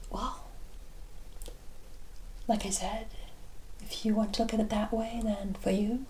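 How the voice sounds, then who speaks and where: A woman playing a teasing, worldly forest witch in a whispered ASMR roleplay, speaking in a deliberate, familiar way. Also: medium pitch, little pitch movement, warm tone, a little hiss or hum, lightly acted, poor audio. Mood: fond, intrigued. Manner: unhurried, deliberate, familiar terms of address.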